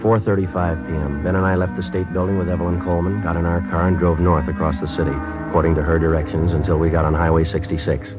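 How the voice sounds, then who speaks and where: An actor playing a stoic police sergeant who narrates a radio crime drama in terse, matter-of-fact sentences. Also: male voice, sits low, level tone, quick pace, hardly any pauses, natural voice. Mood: deadpan, grim. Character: stoic world-weary male police detective. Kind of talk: 1950s radio police drama, clipped first-person narration. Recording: old muffled radio recording with music.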